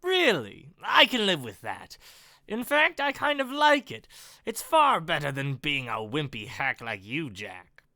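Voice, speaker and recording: Casting: performer acting sneering, vain villain with a gun, slow mocking taunts, bright edge, dry sound. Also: mid-range voice, wildly swooping pitch, dry recording, full character voice. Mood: smug, taunting. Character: sneering, menacing gunman, pudgy, vain bully villain. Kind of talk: amateur script read-through.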